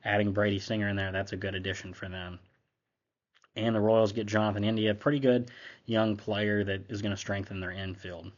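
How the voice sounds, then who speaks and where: A man's voice: fairly low pitch, steady tone, fairly quick, highs cut off. A young man with a slight cold hosting his own channel, giving an offhand, conversational sports recap as he reads down a list. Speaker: host. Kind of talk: casual sports recap commentary.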